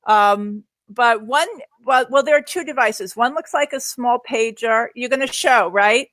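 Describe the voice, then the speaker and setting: A woman's voice: fairly high pitch, a little slow, a bit bright, dry recording. A middle-aged woman telling personal stories conversationally as a parent guest, explaining things in a rambling way. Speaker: guest parent sharing experience. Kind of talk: conversational personal storytelling in a live Q&A.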